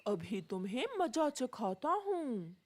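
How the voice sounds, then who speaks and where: A stern cartoon police officer, voiced by a woman. The officer threatens gruffly, with a lot of rise and fall in the voice. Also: on the high side, very animated, takes it slowly, mellow, pitched below the performer's own voice, heavily acted. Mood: threatening. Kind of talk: children's cartoon story acting.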